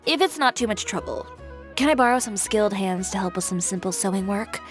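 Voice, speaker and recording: Actress as cool, deadpan woman designer. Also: mid-range voice, faint music behind, lightly acted. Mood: businesslike. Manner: deadpan, brisk, composed. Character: cool, blunt, dry-witted young woman fashion designer. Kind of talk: video game voice acting.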